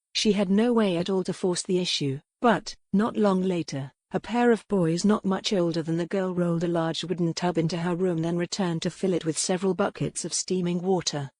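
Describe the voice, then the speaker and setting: A woman's voice: lowish voice, a little mellow, no echo, studio quality. A woman reading scripted narration of a historical romance novel as an audiobook narrator.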